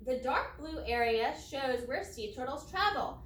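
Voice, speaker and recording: Female teacher, high lively pitch, slow deliberate delivery, children's read-aloud lesson, echoey room, distant mic. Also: a little hiss or hum. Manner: deliberate, explanatory, spelling out steps for young pupils. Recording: roomy echo, distant from microphone.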